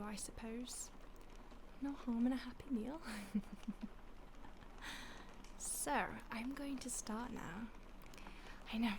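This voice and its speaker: A woman voicing a polite, predatory female vampire who toys with her captive in soft, often whispered, mock-polite speech. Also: fairly high pitch, background noise. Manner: teasing, mock-polite, savoring. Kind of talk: audio roleplay.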